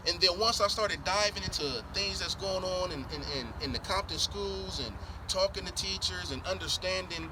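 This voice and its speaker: Middle-aged guy from columbus ohio running for school board, emphatic, keeps repeating himself, loud traffic noise outside. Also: high-pitched, normal speed, hardly any pauses. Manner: emphatic, repeats points, frequent restarts. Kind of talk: answering interview questions. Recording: noisy outdoor recording with traffic.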